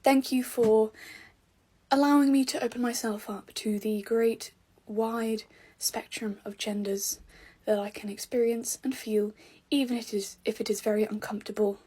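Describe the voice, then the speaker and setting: A woman's voice: mid-range voice, mellow, breathy edge. A gender-fluid woman delivers a playful, wry mock prayer in a mock-earnest tone. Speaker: gender-fluid content creator.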